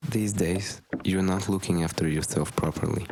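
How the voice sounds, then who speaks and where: Low-voiced, reproachful grown son voiced by a man. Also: fairly even intonation, moderate pace, noisy background, close to an everyday voice. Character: grown son bitterly addressing his father, weary and reproachful. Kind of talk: scripted animated documentary narration.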